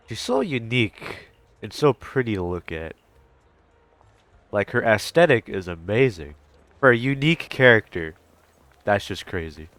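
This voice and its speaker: Rambling low-voiced man reacting to a game. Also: smooth, no echo. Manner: rambling, hesitant, swears casually. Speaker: gaming streamer reacting to a story quest. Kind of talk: casual reaction commentary.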